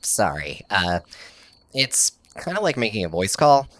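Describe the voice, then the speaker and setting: A man's voice: lowish voice, sing-song, a little slow, fairly bright tone, dry recording. A man playing an eager, nerdy tech tinkerer in an audio comedy, explaining things in a casual, slightly awkward way. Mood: earnest explaining. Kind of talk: audio sitcom acting.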